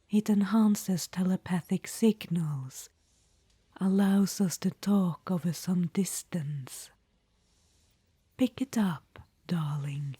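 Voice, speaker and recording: A woman's voice: fairly low pitch, dark tone, slightly rough, no room sound, exaggerated voice. Woman whispering as a doting undead witch-queen. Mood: watchful. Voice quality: whispered throughout. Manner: fond, chatty, calls listener darling. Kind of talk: ASMR audio roleplay. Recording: dry close-mic whisper.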